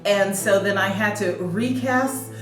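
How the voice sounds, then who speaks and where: A woman's voice: medium pitch, unhurried. A woman who is a theatre director, speaking reflectively in an interview with frequent fillers. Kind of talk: behind-the-scenes interview. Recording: echoey room, distant mic, music behind.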